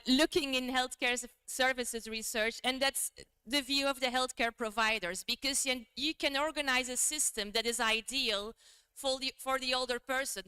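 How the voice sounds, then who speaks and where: Woman, loud voice, conference lecture, chatty, smooth clear tone, dry sound. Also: on the high side, no room sound. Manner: chatty and self-deprecating. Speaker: conference speaker. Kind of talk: academic lecture.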